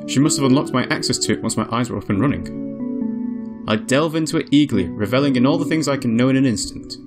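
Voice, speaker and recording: A man voicing a newly awakened, curious female android in a visual novel, measured and introspective. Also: mid-range voice, lightly acted. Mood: curious, observant. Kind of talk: visual novel character narration. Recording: music behind the voice.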